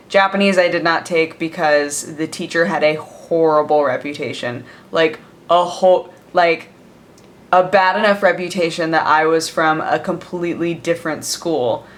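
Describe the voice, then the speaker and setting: A woman's voice: deep voice, a bit bright. A young woman from the United States chatting casually to camera in a daily vlog, rambling with lots of fillers and laughs. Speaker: vlogger. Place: home, sitting with coffee.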